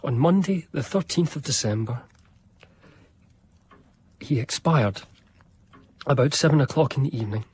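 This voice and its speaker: An actor playing a devoted, melancholy eighteenth-century gentleman biographer, narrating in earnest, literary first person. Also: medium pitch, expressive, moderate pace, long pauses, breathy edge. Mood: grieving.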